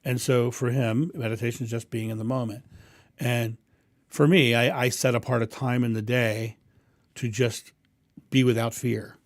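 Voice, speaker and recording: A man with a booming voice hosting a live stream, answering viewers' questions in a rambling, animated way and acting out thoughts. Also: moderate pace, dry recording, clean studio sound. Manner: animated, acts out inner thoughts with raised voice; rambling with frequent ums and restarts. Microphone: condenser mic through a mixing board.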